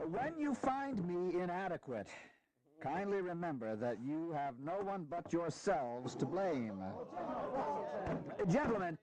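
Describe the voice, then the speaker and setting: A man's voice: fairly high pitch, a little mellow, poor audio. An actor playing a reluctant, dryly humorous retired general and statesman in a historical audio drama, formal and wryly grumbling.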